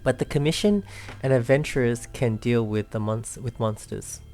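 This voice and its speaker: A woman's voice: a bass voice, moderate pace, mellow. A woman giving casual, chatty let's play commentary as a gaming streamer, with an easygoing manner. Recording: game music behind the voice.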